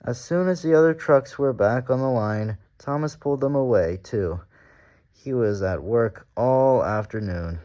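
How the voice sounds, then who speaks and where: A man's voice: medium pitch, a little slow, warm tone, highs cut off. A man narrating a children's storybook aloud as the story reader.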